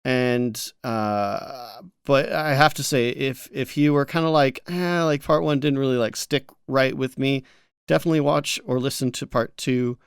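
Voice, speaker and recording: A man with a smooth, clean voice co-hosts a gaming podcast. He chats informally and a little haltingly, recorded close and dry with no room sound. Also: mid-range voice, fairly even intonation, no echo. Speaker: co-host of a gaming news recap show. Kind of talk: casual podcast chat.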